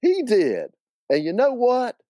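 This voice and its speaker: Man putting on a swooping, high-voiced small-town Southern gal. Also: huge pitch swings, slow, warm tone, no echo, exaggerated voice. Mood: amused. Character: small-town Southern young woman, spirited and exasperated.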